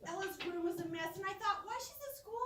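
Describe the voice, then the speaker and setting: A middle-aged woman giving a humorous storytelling speech to a live audience, expressive and theatrical, with dramatic pauses and drawn-out words. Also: very high voice. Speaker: speech contest competitor. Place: roomy indoor space before an audience. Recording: echoey room, distant microphone, faint noise.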